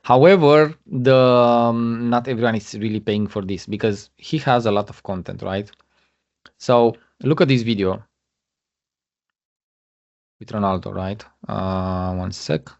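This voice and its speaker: Halting man presenting over a muffled call. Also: lowish voice, gravelly. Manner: halting, many fillers and restarts. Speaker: guest speaker. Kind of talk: webinar presentation. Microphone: video call. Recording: muffled lo-fi online call audio.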